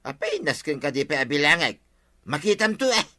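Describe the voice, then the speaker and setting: Forceful, wheedling Ilocano village husband. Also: male voice, fairly high pitch, very animated, a little fast. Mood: argumentative, playful. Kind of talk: Ilocano radio comedy drama acting.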